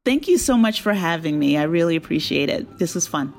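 A woman talking casually as an interview guest, chatty and appreciative, quick to laugh. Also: sits low, lively intonation, vocal fry, faint music behind. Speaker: interview guest, audio drama creator.

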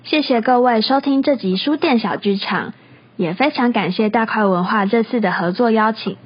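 A woman's voice: on the high side, moderate pace, breathy edge. A woman hosting and narrating a scripted comedic radio skit in storybook style. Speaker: host and narrator, bookstore clerk. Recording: slightly muffled recording.

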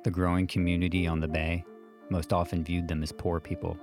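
A man with a low, deep voice narrates a history documentary. His delivery is measured and formal, clearly read from a script, with faint music underneath. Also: little pitch movement.

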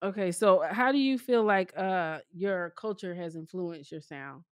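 A woman's voice: fairly low pitch, measured pace, clean tone, no echo, clean studio sound. A woman hosting a podcast, asking questions in a blunt, teasing way. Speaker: podcast host. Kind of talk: casual podcast chat.

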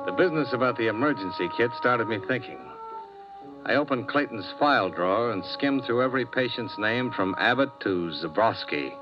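A man's voice: medium pitch, animated, normal speed, loud music behind the voice, lightly acted. A man playing a terse hard-boiled insurance investigator. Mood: narrating. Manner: clipped, terse delivery. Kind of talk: 1950s radio drama acting and narration. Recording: dull old radio recording.

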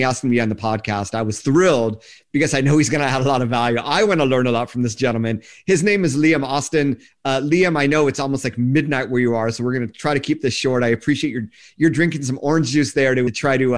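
A man hosting a podcast, talking in an enthusiastic, chatty way to his listeners and guest. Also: medium pitch. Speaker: podcast host. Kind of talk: podcast intro and interview.

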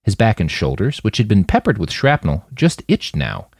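A man narrates scripted fiction in a lowish voice. His pitch moves around a great deal, and the sound is dry and close, as in an audiobook. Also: huge pitch swings, moderate pace, creaky, a little mellow, slightly rough, no room sound. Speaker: author and narrator of a fiction podcast.

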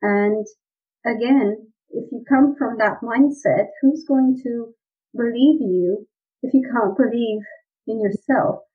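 A woman's voice: fairly high pitch, takes it slowly. A woman from Romania, a guest on an interview, explaining sales mindset in an earnest way. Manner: earnest, explanatory, laughs lightly at times. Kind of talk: conversational interview. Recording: husky voice over a tinny phone-like line.

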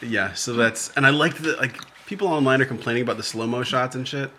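A man's voice: medium pitch, fast, pushed and bright, slight background noise. A man co-hosting a podcast, recapping a reality show in loose, joking, profane chat. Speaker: podcast co-host.

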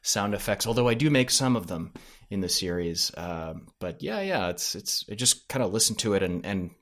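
A low-pitched man answers questions as an interview guest. He talks casually and hesitantly, working out his thoughts as he goes. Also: very animated, moderate pace, a bit bright. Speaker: podcast creator, interview guest.

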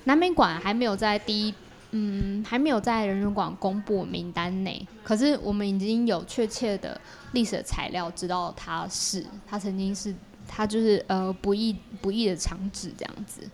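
A woman's voice: mid-range voice, moderate pace, few pauses. A woman with a Taiwanese Mandarin accent, a podcast guest explaining her creative work in a casual conversational way. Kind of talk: casual explanatory interview talk.

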